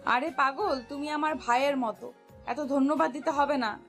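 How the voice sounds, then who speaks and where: A high, girlish voice with music underneath: a playful young woman, acted by a storyteller in a romantic audio drama. She teases her friends and speaks in bright exclamations. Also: fairly even intonation, normal speed. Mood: teasing. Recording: music bed behind voice.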